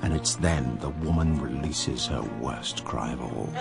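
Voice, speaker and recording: An actor playing a wry, imperious diarist narrating a radio drama with sardonic, theatrical asides. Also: male voice, extremely low pitch, a little slow, creaky, over loud music, exaggerated voice. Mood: grave, detached. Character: wry, imperious male narrator-diarist of plague-stricken London.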